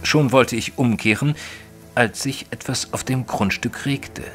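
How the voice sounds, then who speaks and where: An actor playing an educated, observant gentleman who narrates his own story in a formal, literary audio drama style. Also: medium pitch, a little slow, soft background music, close to an everyday voice. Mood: measured narrating. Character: male narrator Mister Tristram, educated, observant, easily flustered gentleman. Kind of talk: scripted first-person audio drama narration.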